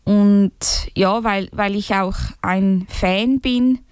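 A young woman speaking in a group, slow and halting with many fillers and restarts. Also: medium pitch, darkish tone, smooth, no room sound, studio quality. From Switzerland. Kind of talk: unscripted personal talk.

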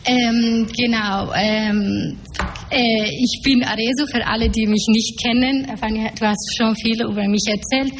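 A woman's voice: on the high side, steady tone, measured pace. A woman in her twenties from Afghanistan, a panel guest and activist, speaking with many fillers and searching for words. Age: 20s. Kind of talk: public panel talk. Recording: echoey hall, faint noise.

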